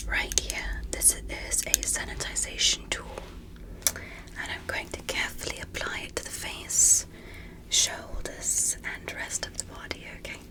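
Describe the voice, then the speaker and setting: A gentle alien medical examiner, acted by a woman in an ASMR sci-fi roleplay. The voice stays in a breathy whisper, with slow, deliberate phrasing. The manner is polite and reassuring, made to soothe. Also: close to an everyday voice, rough recording.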